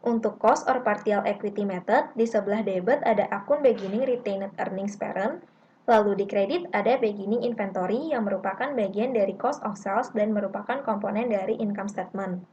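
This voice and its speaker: Young woman reading out a lesson step by step, mid pitch, kinda dull lo-fi mic with noise behind her. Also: fairly quick, darkish tone. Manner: methodical, instructional. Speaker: accounting lab tutor. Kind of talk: scripted lesson narration.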